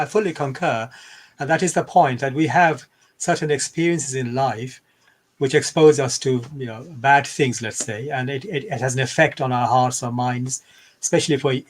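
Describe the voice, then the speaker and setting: Male guest, 50s, from Bangladesh, middle pitch, thoughtful, rambling, digressive storyteller. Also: fairly quick. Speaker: guest scholar. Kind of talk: reflective interview storytelling.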